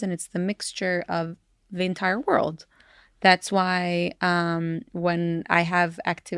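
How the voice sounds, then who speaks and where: A woman from East Jerusalem talks in an earnest, casual way as a podcast guest, her voice low and smooth. She often stops and restarts, filling gaps with ums. The recording is close and dry. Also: no echo. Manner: earnest, many fillers and restarts.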